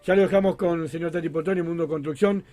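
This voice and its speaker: A man's voice: a little high, steady tone, quick pace, warm tone, dry recording. A man with a Rosario Argentine accent hosting a chatty live radio show in an effusive, joking way, often repeating himself. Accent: Rosario Argentine Spanish. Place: radio studio.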